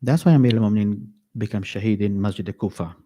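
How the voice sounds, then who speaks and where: A man giving a mourning sermon at an Islamic center, slow and emphatic, repeating key phrases with pauses. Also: fairly low pitch, dark tone.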